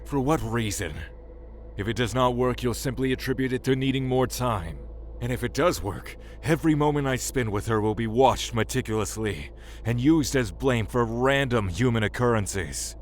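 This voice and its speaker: An actor playing a proud, defiant male god in an audio drama, speaking in a haughty, deliberate manner. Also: mid-range voice, a little hiss or hum, natural voice. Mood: indignant. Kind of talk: dramatic audio fiction acting and narration.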